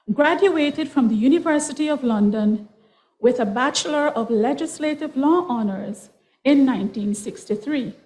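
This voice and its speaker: A woman's voice: high-pitched, darkish tone, poor audio. A woman reading out a formal citation in a ceremonial, carefully enunciated manner. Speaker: university lecturer reading a citation.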